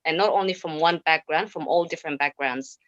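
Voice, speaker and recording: A woman's voice: low-pitched, fairly quick, a bit bright. A woman speaking enthusiastically at a community town hall, repeating her points. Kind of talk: unscripted town hall remarks. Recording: dry, muffled sound.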